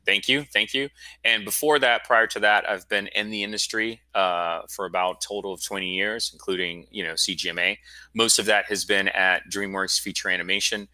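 A man's voice: on the low side, fairly even intonation, normal speed, bright, forceful tone, dry recording. A man in his forties from Florida, a guest telling his story in a casual, rambling interview. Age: 40s. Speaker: guest, school founder.

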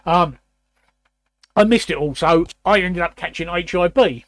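A man in his sixties with a croaky, raspy voice, hosting a casual chat and often clearing his throat. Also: high-pitched, unhurried, a bit bright, dry recording, clean studio sound. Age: 60s. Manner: repeats words, frequent throat clearing. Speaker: host.

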